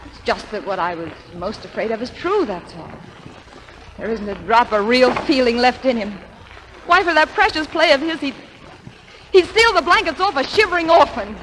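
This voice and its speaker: A proud, wounded actress. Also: female voice, sits high, lively intonation, normal speed. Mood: heartfelt. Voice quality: earnest, emotional. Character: woman, divorced actress, wounded but proud. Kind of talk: 1940s radio acting. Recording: faint hiss of old radio.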